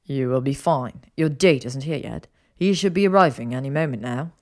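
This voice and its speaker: An airy young male wizard acted by a woman narrator in an audio story. He is an assured, slightly bossy host with a fairly low voice. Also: moderate pace.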